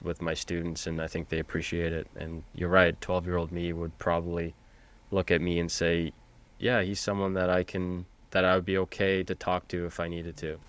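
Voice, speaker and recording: A man from Canada, a teacher and podcast guest, talking casually with frequent restarts over a video call. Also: sits low. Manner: halting, with many restarts. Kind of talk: casual conversation.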